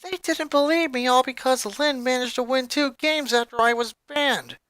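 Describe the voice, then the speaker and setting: A woman acts out a young boy with a very high voice, hurt and bitter, pouring out his grievances with emphatic emotion. The sound is dry and close, like a dramatic story reading. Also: moderate pace, fairly bright tone, no echo. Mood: agitated, upset. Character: angry, hurt young boy venting about his family. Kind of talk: dramatic fan fiction character reading.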